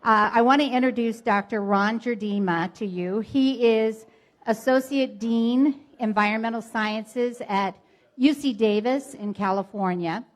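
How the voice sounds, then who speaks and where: A woman's voice: a little high, a little slow. A woman in her sixties introducing a guest speaker at a club meeting, informal, with ums and light joking. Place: meeting room.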